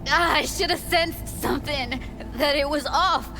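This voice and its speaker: A woman's voice: high-pitched, a little slow. A woman acting a tough young woman in grief and shock for a video game, blunt, profane and emotionally raw. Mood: stunned, guilty. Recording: music playing behind the voice. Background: game music.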